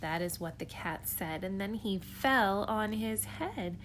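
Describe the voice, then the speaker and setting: A woman preschool teacher reading a picture book aloud at home in an expressive, sing-song storytelling way. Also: mid-range voice, slow pace, slight background noise.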